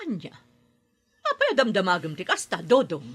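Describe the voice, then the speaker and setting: A woman playing a greedy, scheming lady behind a kidnapping in a radio drama, sharp and scornful. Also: mid-range voice, wildly swooping pitch, moderate pace, slightly rough. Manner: sharp, scornful, snapping into commands. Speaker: madam of the house.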